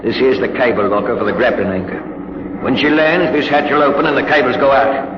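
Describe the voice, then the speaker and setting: An actor playing a sly, bullying Cockney spaceship mate in a 1950s radio drama, mocking and slangy with mock politeness. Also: male voice, medium pitch, fairly even intonation, fairly quick, close to an everyday voice. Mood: businesslike, oily. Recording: noisy, dull old 1950s radio recording.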